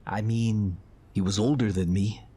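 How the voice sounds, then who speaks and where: An actor playing a reserved young former soldier in a video game, recounting things in a subdued, hesitant way. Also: male voice, on the low side, fairly even intonation, a little slow, warm tone, husky. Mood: reflective, subdued. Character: reserved, brooding young ex-soldier man telling his past. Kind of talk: video game character narration.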